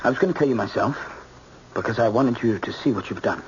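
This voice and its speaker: An actor playing a bitter, obsessive young American son, curt and accusing, in an old-time radio drama. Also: male voice, lowish voice, quick pace, natural voice. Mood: accusing, menacing. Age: young adult. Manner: intense, curt, insistent. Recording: dull old radio broadcast with hiss.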